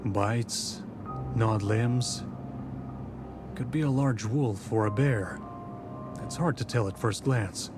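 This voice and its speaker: An actor playing a composed, authoritative nineteenth-century detective with a polite, formal, measured way of talking in a visual novel. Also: male voice, on the low side, music in the background. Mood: businesslike, probing. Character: composed, courteous, authoritative 19th-century male detective from the capital. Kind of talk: scripted visual novel voice acting.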